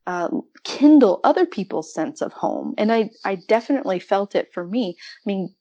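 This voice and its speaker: A woman's voice: mid-range voice, animated, moderate pace, dark tone, no room sound, dull audio. A woman with an American accent, from rural Arizona, talking casually and reflectively about literature as a podcast guest. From rural Arizona, United States. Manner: chatty, reflective, laughs readily.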